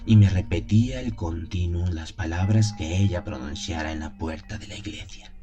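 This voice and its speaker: A man's voice: low voice, moderate pace, lightly acted. A man playing an anguished, lovesick young seminarian who solemnly narrates his torment in a radio play. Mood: brooding anguish. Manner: solemn, brooding, theatrical.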